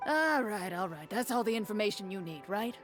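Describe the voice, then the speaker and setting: A surly, stingy merchant, gruff and dismissive, who haggles and brushes people off. His voice sits somewhat high, with soft game music behind it. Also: expressive, fairly quick. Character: stingy, surly middle-aged male city merchant. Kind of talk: video game dialogue.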